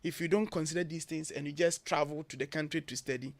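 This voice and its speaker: Earnest Ghanaian man, bright voice. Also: a little high, steady tone, quick pace, no room sound. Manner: earnest, emphatic, lists points. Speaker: guest, education agency head. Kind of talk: radio interview advice. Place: radio studio.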